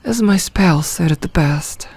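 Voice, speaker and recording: A woman playing a possessive, commanding female werewolf leader in an ASMR audio roleplay, with deliberate, formal phrasing. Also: on the low side, creaky, a little mellow, husky, no room sound, exaggerated voice. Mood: hushed, intimate.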